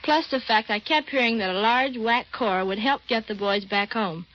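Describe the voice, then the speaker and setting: A woman's voice: on the high side, moderate pace, fairly bright tone. Muffled vintage radio, American servicewoman. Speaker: army sergeant, interview guest. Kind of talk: 1940s radio interview. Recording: dull vintage 1940s radio recording.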